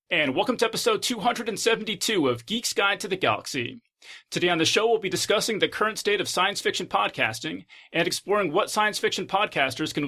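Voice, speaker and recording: A man's voice: high-pitched, pushed and bright. A man hosting a podcast, speaking in a conversational interviewing style. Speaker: podcast host.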